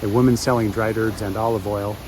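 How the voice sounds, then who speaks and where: A man in his late fifties from the west coast of the United States, narrating a travel vlog in a measured, reflective way. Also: fairly low pitch, steady tone, noisy background. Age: 50s. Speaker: travel vlogger. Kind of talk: scripted voice-over narration.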